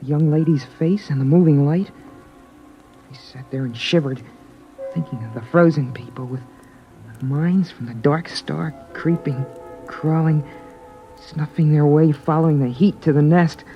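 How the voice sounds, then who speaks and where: An anxious boy telling his story in an old radio play. The voice is fairly high for a male and hushed with suspense. Music runs beneath the dull vintage recording. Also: fairly even intonation, a little slow. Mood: uneasy, brooding. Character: anxious, watchful boy narrating his family's survival. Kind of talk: old-time radio drama narration.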